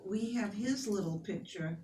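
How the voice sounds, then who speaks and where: Audience woman, halting questions; distant, roomy pickup. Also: medium pitch, noisy background. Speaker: audience member. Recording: roomy, from across the room.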